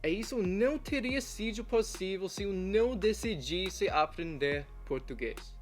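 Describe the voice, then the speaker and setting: A young American man, a native English speaker with an American accent, telling a personal story in a cheerful, chatty vlog manner with frequent laughs. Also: unhurried. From United States. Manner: cheerful, chatty, laughs often, lively pitch jumps. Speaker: language vlogger. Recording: faint background guitar music.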